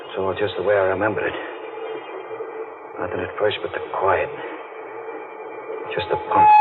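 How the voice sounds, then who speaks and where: A man playing a haunted, guilt-ridden deep-sea diver in an old-time radio thriller, brooding and menacing in halting, clipped phrases. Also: heavily acted. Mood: mounting dread. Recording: muffled, noisy vintage radio recording.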